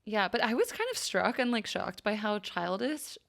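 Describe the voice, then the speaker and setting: Casting: woman co-host, medium pitch with strong creak, informal slangy delivery in friendly TV discussion. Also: big pitch swings, moderate pace. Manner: informal, slangy, heavy use of "like". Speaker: podcast co-host. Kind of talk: casual TV discussion among friends.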